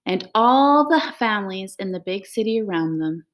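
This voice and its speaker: A woman with a medium-pitched voice reads a picture book. It is a read-aloud meant for young children. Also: animated, normal speed. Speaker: school staff member reading aloud.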